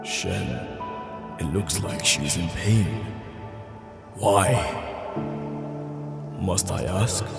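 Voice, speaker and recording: An actor playing an earnest, observant young warrior and protective lover in a dramatic audio drama, speaking in a pensive way. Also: male voice, low voice, very slow, creaky, long pauses, loud music behind the voice, heavily acted. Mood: worried, pensive. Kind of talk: dramatic audio drama, inner monologue and dialogue.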